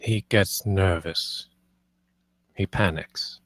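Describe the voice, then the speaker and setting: A man's voice: low-pitched, steady tone, extremely slow, fairly bright tone, no echo, heavily acted. A man playing a stern, curt lord of a manor in a screenplay read, terse and commanding. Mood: stern, guarded.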